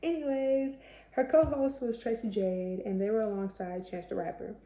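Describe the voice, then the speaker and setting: A young woman with a slightly raspy voice, chatting casually to camera with lots of fillers. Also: medium pitch, fairly even intonation, moderate pace, mellow, reverberant. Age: young adult. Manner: chatty, conversational, many fillers. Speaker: vlogger.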